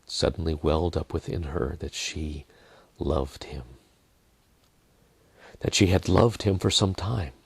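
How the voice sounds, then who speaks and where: Very deep, gravelly male storyteller. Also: dry recording. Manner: measured, with dramatic pauses and hushed phrases. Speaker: storyteller reading own story. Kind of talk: dramatic scripted narration.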